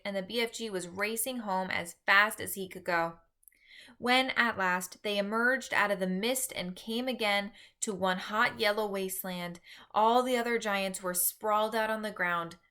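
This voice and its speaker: A woman narrating a children's book aloud in an expressive storytelling style. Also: mid-range voice. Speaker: storybook reader.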